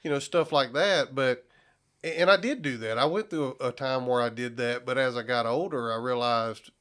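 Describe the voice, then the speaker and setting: A man's voice: mid-range voice, moderate pace, fairly bright tone. A man talking casually and plainly on a podcast, in a folksy way. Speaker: coon hound breeder on a hunting podcast. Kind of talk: casual chat.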